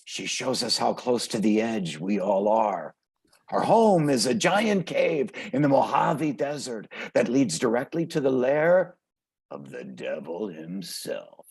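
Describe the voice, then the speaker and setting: An actor playing a volatile, mocking male street gangster in a theatrical table read, gravelly and husky, swinging from hushed murmurs to shouts. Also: medium pitch, big pitch swings, a little slow, full character voice. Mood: ominous, theatrical. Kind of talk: dramatic table read of a modernized Shakespeare play. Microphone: video call.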